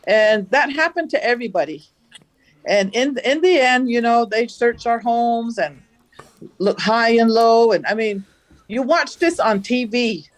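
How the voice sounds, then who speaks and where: A woman from the Navajo Nation, a farmer, recounting events in a studio interview with a loud voice and an animated telling. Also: fairly high pitch, a little slow, no room sound, low-quality recording. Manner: animated, acts out the dialogue she recounts. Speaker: farmer, guest.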